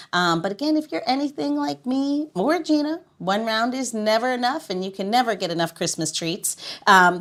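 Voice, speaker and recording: A woman hosting a podcast in a casual, expressive style, emphatic and prone to repeating her phrases. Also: medium pitch. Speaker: podcast host.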